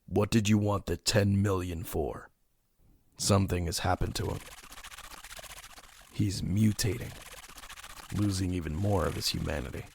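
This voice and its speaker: A man playing a grim masked vigilante detective in an audio drama, terse and stern, with a gravelly, husky voice. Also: sits low, fairly even intonation, unhurried, pauses often, a little mellow, a little hiss or hum. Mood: brooding. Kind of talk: audio drama narration and dialogue.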